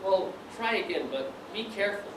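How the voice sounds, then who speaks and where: A man's voice: high voice, expressive, moderate pace. A man on stage playing a bossy but nervous, blustering schemer. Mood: snappy, urgent. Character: bossy, nervous male schemer caught sneaking. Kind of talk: amateur stage acting, one-act school play. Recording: echoey stage, distant mic, clear noise.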